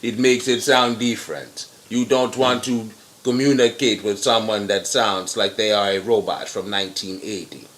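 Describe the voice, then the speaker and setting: A man giving blunt, profane opinions in casual chat as co-host, with sudden jumps in pitch. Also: steady tone, normal speed, fairly bright tone.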